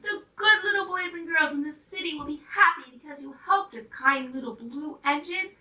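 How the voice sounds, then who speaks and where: A woman voicing small, excitable, childlike dolls and toys from a storybook, with emphatic pleading delivery. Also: high voice, normal speed, echoey room, distant mic, noisy background, voice pushed up, lightly acted. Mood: joyful.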